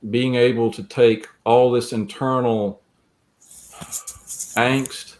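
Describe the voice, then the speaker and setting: A man speaking as an interview guest, reflective and measured, with a gentle, earnest manner. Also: mid-range voice, flat delivery, poor audio. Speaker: guest, creative writing teacher. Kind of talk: reflective interview talk and poem reading.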